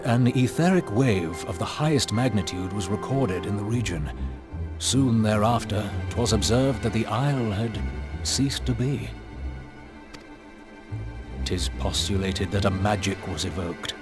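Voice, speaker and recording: Low, solemn archaic scholar. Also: male voice, animated, unhurried. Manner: archaic, ornate, ceremonious diction. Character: solemn, deferential male scholar bearing grave tidings. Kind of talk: video game cutscene acting. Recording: music behind the voice.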